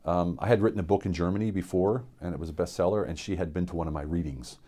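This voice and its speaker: A middle-aged man from Pennsylvania, a military veteran, gives an unscripted personal testimony in a studio, earnest and reflective, with many halting fillers and restarts. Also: low voice, dark tone. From central Pennsylvania, USA. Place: indoor studio with dark backdrop.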